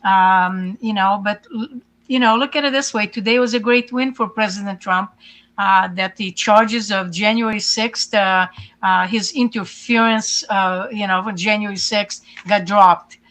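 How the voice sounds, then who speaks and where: A woman's voice: medium pitch, fairly even intonation, measured pace, fairly bright tone. Woman talking earnestly. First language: Hungarian. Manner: earnest, many fillers and restarts. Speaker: guest. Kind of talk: casual interview talk.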